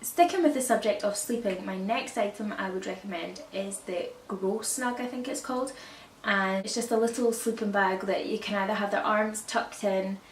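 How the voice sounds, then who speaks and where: A woman with a croaky voice from a cold, chatting casually to camera about baby products and often correcting herself. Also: mid-range voice, normal speed. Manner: rambling, self-correcting, chatty. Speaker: parenting vlogger. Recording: echoey room, distant mic, faint hiss.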